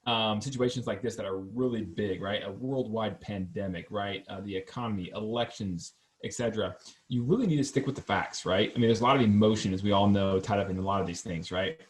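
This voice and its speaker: A man's voice: on the low side, fairly quick. A man hosting a real estate podcast from an office, talking casually and with energy, joking and tagging his points with "right?". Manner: energetic, joking, fact-focused, says "right?" often. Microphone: podcast microphone.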